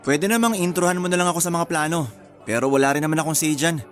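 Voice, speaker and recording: A man's voice: fairly high pitch, quick pace, natural voice. An actor playing a casual, laid-back groom-to-be in his thirties in a radio drama, with a Filipino accent. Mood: casual, easygoing. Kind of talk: Tagalog radio drama dialogue.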